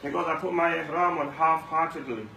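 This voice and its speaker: A man's voice: on the high side, fairly even intonation, fairly quick, creaky, pushed and bright. A man giving a Ramadan talk at a mosque, telling stories and repeating lines for emphasis. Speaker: mosque speaker. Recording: echoey room, mic far off, faint hum.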